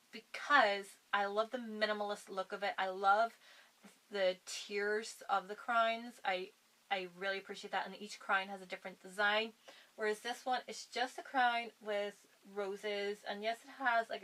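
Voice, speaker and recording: Bright-voiced woman vlogger, slightly strained. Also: mid-range voice, low-quality recording. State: strained voice from long filming. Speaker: book reviewer vlogger. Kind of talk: casual vlog chat.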